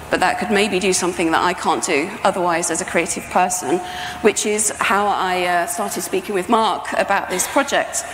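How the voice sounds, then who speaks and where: A middle-aged woman giving an informal, explanatory conference talk as a sound artist. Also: medium pitch. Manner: conversational, explanatory. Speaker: sound artist presenting a project. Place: indoor talk venue with projection screen, on stage. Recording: echoey hall, faint background noise.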